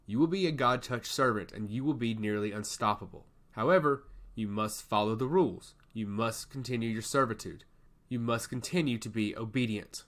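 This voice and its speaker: A man's voice: mid-range voice, normal speed, a bit bright, natural voice. A man voicing a stern, sly god of intellect in a fantasy story, lecturing solemnly and emphatically. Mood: stern, warning. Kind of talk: fantasy audiobook character acting.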